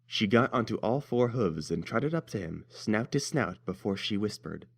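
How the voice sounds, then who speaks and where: A man's voice: fairly low pitch, lively intonation, normal speed, vocal fry, husky. A man narrating a scripted story as its reader.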